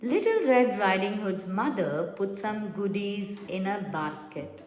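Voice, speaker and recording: A woman's voice: medium pitch, lively intonation, unhurried. A woman narrating a children's story from a script for a puppet show. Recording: echoey room with faint music.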